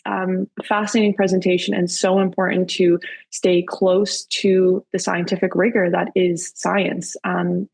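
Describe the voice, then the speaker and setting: A woman in her thirties from Canada speaks over a video call with a voice that has a gravelly fry at a middling pitch. Her talk is earnest and enthusiastic, and it is full of fillers and restarts. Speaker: webinar speaker and author. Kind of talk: webinar presentation.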